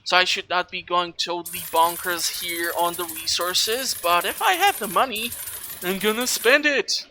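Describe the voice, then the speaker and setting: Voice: mid-range voice, normal speed, bright, forceful tone, slight background noise. A gaming video host giving casual let's play commentary, chatty and rambling while thinking aloud. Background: video game music.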